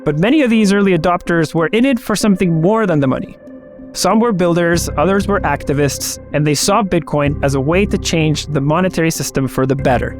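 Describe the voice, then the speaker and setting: A man's voice: on the high side, fairly quick. A man hosting an interview, opening with scripted narration and then asking his guest questions. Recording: clear music bed under voice.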